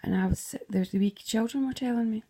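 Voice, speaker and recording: A woman's voice: a little high, brisk, mellow. A Scottish woman casually retelling a dream, rambling, slipping into whispered asides and swearing freely.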